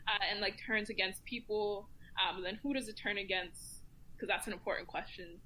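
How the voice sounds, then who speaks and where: A woman's voice: mid-range voice, steady tone, normal speed, pushed and bright, a little hiss or hum, poor audio. A woman speaking as a podcast guest, reflective and casual, thinking aloud as she talks. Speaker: podcast guest, community commentator. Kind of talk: casual round-table discussion, thinking aloud.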